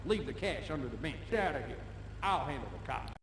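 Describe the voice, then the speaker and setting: An actor playing a brash, gruff war veteran gun dealer in a video game. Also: male voice, on the high side, lively intonation, fast, a bit bright. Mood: irritated, brisk. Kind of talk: video game cutscene acting. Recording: echoey hall, faint noise.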